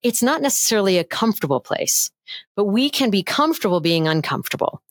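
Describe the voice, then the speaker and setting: A middle-aged woman voice coach chatting as a guest on a remote interview, animated and playful, demonstrating voice techniques. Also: fast talker, no room sound, studio quality. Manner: animated and playful, demonstrates pitch changes with her own voice. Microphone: headphones with mic, remote call.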